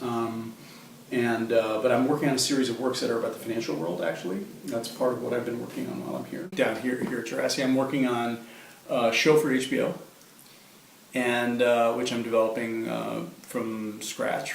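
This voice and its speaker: Echoey, distant American man, thoughtful. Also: mid-range voice. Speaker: writer and playwright. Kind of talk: informal interview talk. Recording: echoey room, distant mic, faint noise.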